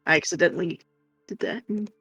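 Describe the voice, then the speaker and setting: Male guest co-streamer, fast and gravelly, casually chiming in, dry sound with music underneath. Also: high-pitched, sharp tone, no echo. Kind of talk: casual chat, interjecting.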